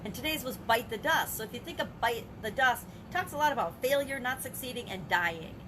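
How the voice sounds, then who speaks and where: A woman's voice: fairly high pitch, lively intonation, fairly quick. Chatty grandmother vlogging over background noise. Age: older. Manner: chatty, self-reflective, frequent restarts and rhetorical questions. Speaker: business coach and vlogger. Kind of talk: casual video journal.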